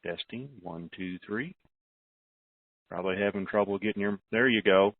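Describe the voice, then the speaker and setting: A man's voice: fairly low pitch, normal speed, no room sound. A man hosting a call-in conference, speaking casually in brief, polite turns. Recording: dry narrow phone-like call audio.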